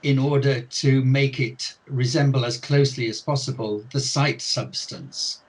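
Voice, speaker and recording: An older man speaks slowly in a husky voice, as an author being interviewed. He thinks aloud, pausing and circling back over his words as he explains his ideas. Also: medium pitch, steady tone, rough recording. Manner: thoughtful, many restarts and hesitations. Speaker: author and ELT expert guest. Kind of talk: unscripted interview talk.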